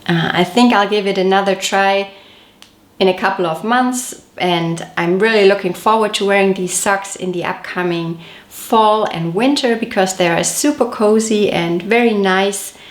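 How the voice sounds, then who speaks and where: A woman's voice: mid-range voice, a little slow. A woman hosting a knitting podcast, chatty and detailed, her voice a little off as she recovers from a cold. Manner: chatty, detailed, gently self-correcting. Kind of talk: casual show-and-tell podcast. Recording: echoey room.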